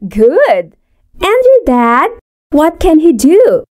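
High-voiced woman playing a teacher. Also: wildly swooping pitch, slow pace, darkish tone. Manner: clear, encouraging classroom questioning. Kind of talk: scripted textbook dialogue.

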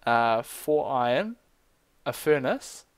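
A young man chatting casually while playing a game with a friend, reacting quickly. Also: mid-range voice, very animated, no room sound. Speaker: gamer. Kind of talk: casual let's play chat.

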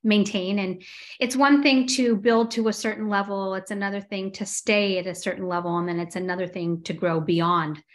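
A woman's voice: mid-range voice, steady tone, normal speed. Chatty forties woman on a video call. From Los Angeles, USA. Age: 40s. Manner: chatty, frequent "um" fillers, laughs easily. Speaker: guest entrepreneur. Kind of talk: casual interview chat. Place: home room with window blinds.

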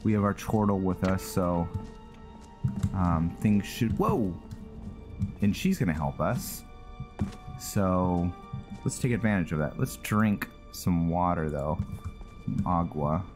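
A man's voice: deep voice, slow pace, long pauses, over music. A man hosting a gaming video, giving casual roleplay commentary and thinking aloud.

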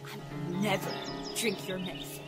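A woman playing a sick local woman in an audio drama, with a halting, deliberate, dramatic delivery. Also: medium pitch, flat delivery, over loud music, lightly acted. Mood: scornful, angry. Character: sick local woman, pleading, then scornful and defiant.

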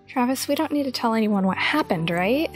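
A fairly high female voice over faint background sound. The actress plays a teenage schoolgirl in an audio drama, playful with a touch of exasperation. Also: lively intonation, a little fast, faint music behind, close to an everyday voice. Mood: soft, friendly.

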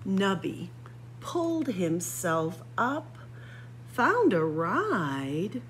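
A woman reading a children's picture book aloud expressively, with dramatic pauses and drawn-out emphasis. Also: medium pitch, huge pitch swings, a little hiss or hum. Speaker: library story-time reader. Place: library room with bookshelves.

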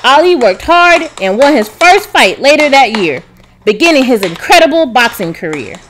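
A woman narrating a children's storybook, reading aloud expressively with clear enunciation. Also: high voice, sing-song, moderate pace, a bit bright, a little hiss or hum. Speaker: narrator, read-aloud reader.

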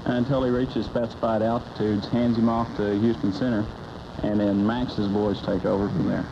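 A man's voice: on the low side, flat delivery, normal speed. An American man explaining procedures as an air traffic controller guest, in a matter-of-fact way. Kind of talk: explanatory interview talk. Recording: muffled 1980s AM radio cassette, loud noise.

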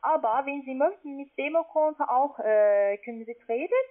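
Female telesales agent, non-native with foreign accent, highish voice, halting phrasing, narrow telephone sound. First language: not German. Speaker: call-centre sales agent. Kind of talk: phone sales pitch. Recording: thin, band-limited phone call.

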